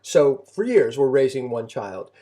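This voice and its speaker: Mellow middle-aged man, reflective talker. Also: medium pitch, big pitch swings. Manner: reflective, halting with repeated phrases. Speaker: homeschooling parent and co-host. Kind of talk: conversational parenting talk.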